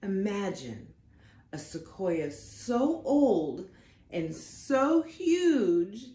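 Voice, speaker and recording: A woman reading a picture book aloud to children, with expressive, deliberate emphasis on the words. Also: mid-range voice, reverberant. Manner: dramatic, deliberate emphasis for young listeners. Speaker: storybook reader for children.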